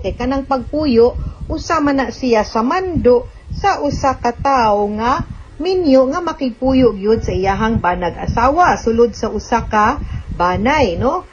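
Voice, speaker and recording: A dull radio recording with clear noise carries a woman's voice with a Cebuano Filipino accent. She hosts an advice program as a lawyer. She explains legal points to listeners, dropping in English terms. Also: a little high.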